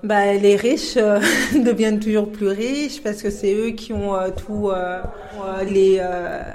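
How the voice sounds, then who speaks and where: A woman answering as a street interviewee, halting and searching for words. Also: medium pitch, fairly even intonation, darkish tone.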